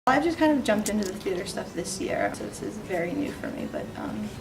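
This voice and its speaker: A woman's voice: medium pitch, animated, fairly quick, background noise. A young woman, a theater director, talking casually in an interview. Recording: distant mic under loud music. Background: loud background music.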